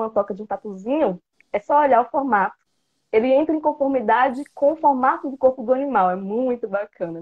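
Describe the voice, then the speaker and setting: A playful, chatty woman in her thirties with a Bahian Brazilian accent, her voice sitting a little high. She talks through an earphone mic on a video call, and the sound comes through slightly dull. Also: husky. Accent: interior Bahia Brazilian Portuguese. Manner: chatty, playful, gestures a lot. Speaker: guest, biology teacher. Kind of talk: casual video-call interview. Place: small room indoors. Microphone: earphone headset mic on video call.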